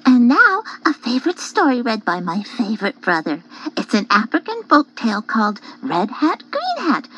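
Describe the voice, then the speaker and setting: A woman's voice: a little high, wildly swooping pitch, normal speed, few pauses, sharp tone, exaggerated voice. A woman voicing a cheerful young girl lion cub who hosts a children's story tape. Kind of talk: scripted children's audio hosting. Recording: dull cassette, faint noise.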